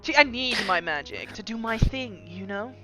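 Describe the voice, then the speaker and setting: A woman voicing an evasive, wry fey-pact trickster in kobold form, speaking in a smooth, elegant manner. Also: fairly high pitch, lively intonation, normal speed, pushed and bright, soft background music. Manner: elegant, smooth, mock-wounded.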